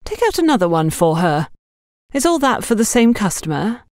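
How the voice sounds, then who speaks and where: A woman's voice: medium pitch, big pitch swings, normal speed, darkish tone, no room sound, pitched above the performer's own voice, lightly acted. A woman narrator puts on a demanding female boss in an audiobook drama. She is curt and authoritative, her intonation rising and falling sharply, recorded close and dry. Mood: brisk.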